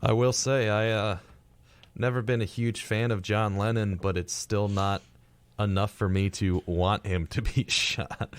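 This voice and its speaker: A man's voice: on the low side, fairly even intonation, measured pace, smooth. A man co-hosting a radio show in a casual, conversational style. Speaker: co-host of a retrospective radio show. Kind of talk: casual chat.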